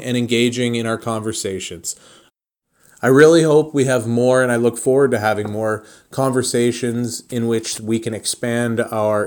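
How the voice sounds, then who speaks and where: A man hosting a solo podcast, speaking straight to listeners in a deliberate, halting way with pauses mid-thought. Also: mid-range voice. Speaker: podcast host.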